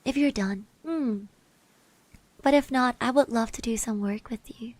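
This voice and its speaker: A woman playing a shy, friendly schoolgirl classmate in an ASMR roleplay, speaking softly, gently and hesitantly. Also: a little high, unhurried, dry recording. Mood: shy, hopeful. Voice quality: soft, breathy. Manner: hesitant, gentle, with little hums and trailing repeats. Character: shy, friendly high school girl classmate, admiring and easily flustered.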